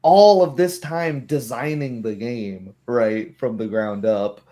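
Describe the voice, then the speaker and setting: A man's voice: mid-range voice, slow pace, mellow. A man with a mid-range voice talks as a game master in a loose, casual chat. He rambles along and slips into imagined remarks to illustrate what he means. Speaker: game master of a tabletop roleplaying group. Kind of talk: casual chat about tabletop game rules.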